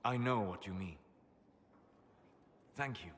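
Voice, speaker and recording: An actor playing a solemn, courteous, melancholy scholar and wanderer in a dramatic game scene, speaking in a measured, formal way. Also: male voice, lowish voice, fairly bright tone, big-room sound. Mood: respectful.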